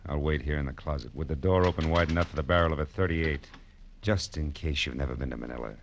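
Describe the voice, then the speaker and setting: An actor playing a sardonic, commanding private detective in a 1940s radio crime drama, with clipped, slangy delivery. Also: male voice, very deep voice, fast. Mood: tough, accusing. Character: hard-boiled wisecracking male private detective, sardonic and commanding. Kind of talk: 1940s radio crime drama acting with first-person narration. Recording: noisy, clicky vintage radio recording.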